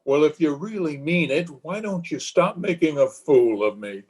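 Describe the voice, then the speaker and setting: A man's voice: a little high, lively intonation, moderate pace, a little mellow, slightly rough. A man playing a bitter, weak-willed philandering husband in an old-time radio melodrama, agitated and theatrical. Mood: pleading, infatuated. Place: remote recording over Zoom.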